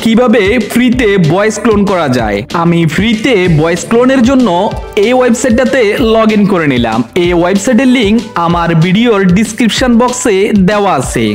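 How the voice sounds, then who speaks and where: A man with a noticeably high voice narrates a step-by-step screen tutorial as its presenter. Music plays softly but audibly behind him as he explains. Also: expressive, fairly quick. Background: background music.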